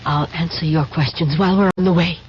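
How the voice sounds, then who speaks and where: A woman's voice: sits low, fairly even intonation, fast talker, a little hiss or hum, close to an everyday voice. An actress playing an urgent, secretive young woman agent in an old-time radio drama. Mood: brisk, guarded. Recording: dull old radio broadcast, music behind.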